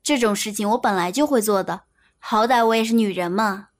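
A woman's voice: mid-range voice, fairly quick, lightly acted. A woman acting a pert, defensive young daughter in an audio drama.

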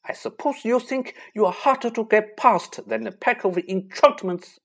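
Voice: medium pitch, normal speed, vocal fry, fairly bright tone, pitched above the performer's own voice. A reader voicing a stern, strict older woman teacher who has lost her temper. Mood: furious.

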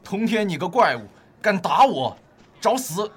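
A man's voice: high-pitched, very animated, unhurried, a bit bright, slight background noise, full character voice. A man playing a cocky young bully in an amateur radio drama, taunting and swaggering. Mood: furious.

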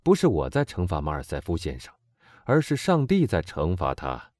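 A man playing a cold, vengeful middle-aged nobleman who speaks with calm, bitter irony. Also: sits low, normal speed, dry recording, clean studio sound.

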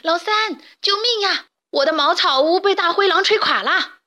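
A woman's voice: high-pitched, animated, moderate pace, fairly bright tone. A woman voicing the eldest of three little pigs, a proud and boastful piglet. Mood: panicked. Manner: boastful, then panicked.

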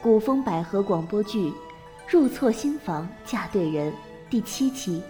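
Mellow female drama announcer. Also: mid-range voice, expressive, unhurried, faint music behind. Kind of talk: scripted audio drama announcement.

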